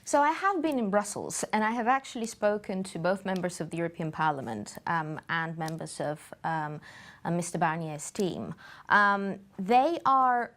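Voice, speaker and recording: A woman from Bulgaria, answering interview questions in a studio in a measured way, pausing often with fillers. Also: on the low side, lively intonation, a bit bright. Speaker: campaign group guest.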